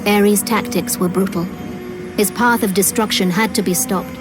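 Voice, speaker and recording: An actress playing a calm, authoritative goddess in a video game, speaking in a measured, stately, formal way. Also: female voice, medium pitch, natural voice. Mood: commanding. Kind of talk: video game dramatic acting. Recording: music behind the voice.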